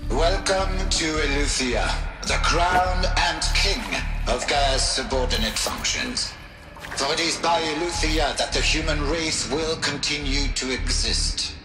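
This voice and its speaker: An actor playing a pompous, principled program director who gives a formal speech with grandiose, precise emphasis. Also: male voice, a little high, unhurried, close to an everyday voice. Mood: proud, formal. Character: pompous principled male scientist in charge of a program. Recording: roomy echo under loud music. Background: music.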